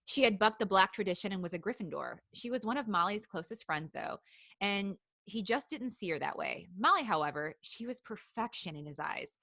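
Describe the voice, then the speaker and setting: A woman podcast host reads fan fiction aloud and keeps breaking into laughter and side jokes. Her voice is bright and clear. The recording is dry, with no room echo. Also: medium pitch, animated, fairly quick.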